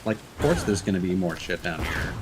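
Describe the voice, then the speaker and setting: A man's voice: sits low, expressive, fairly quick, noisy background. A man giving casual, informal commentary on a gaming stream, with some swearing. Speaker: live streamer.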